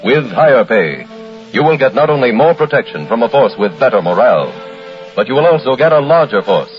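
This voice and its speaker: A man's voice: medium pitch, very animated, fairly quick, faint music behind. An American man delivering formal, earnest 1940s radio narration in a grave manner. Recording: muffled old radio broadcast.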